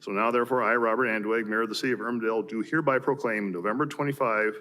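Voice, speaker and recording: A man's voice: lowish voice, fairly even intonation, very fast, pushed and bright, slight background noise. A man with an American accent, a mayor formally reading proclamations at a council meeting. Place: city council chamber.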